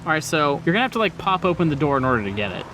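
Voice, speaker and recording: Higher-pitched man running a tabletop game. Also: very animated, very fast, noisy background. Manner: informal, conversational. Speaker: game master. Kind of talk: narrating a tabletop roleplaying game.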